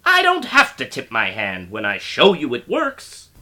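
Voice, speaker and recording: A man's voice: mid-range voice, wildly swooping pitch, unhurried, sharp tone, exaggerated voice. A man playing a grandiose, short-tempered mad scientist villain in a radio play, with theatrical delivery.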